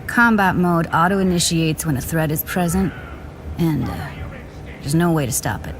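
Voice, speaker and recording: A woman's voice: low voice, moderate pace, slight background noise, close to an everyday voice. An actress playing a blunt, weary young woman tech rebel in a video game, speaking in terse, matter-of-fact phrases. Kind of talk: cinematic game dialogue.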